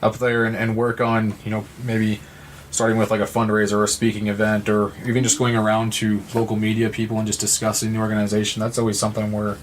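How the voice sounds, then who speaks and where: A man from Missouri with an American accent, speaking as an interview guest in an earnest, halting, reflective way. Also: lowish voice, completely flat delivery, a little hiss or hum. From Missouri, United States. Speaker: nonprofit representative and guest. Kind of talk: conversational interview.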